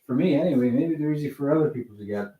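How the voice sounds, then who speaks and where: A man rambling casually on a livestream, halting and digressive as he thinks aloud while showing his purchases. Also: mid-range voice, lively intonation, narrow, tinny audio. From Canada.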